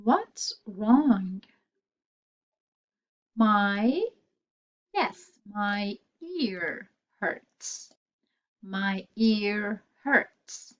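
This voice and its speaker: Woman teacher going over english words super slowly, saying each one twice, kinda muffled audio. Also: mid-range voice, lively intonation. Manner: repeats phrases slowly for learners. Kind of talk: slow, deliberate vocabulary lesson.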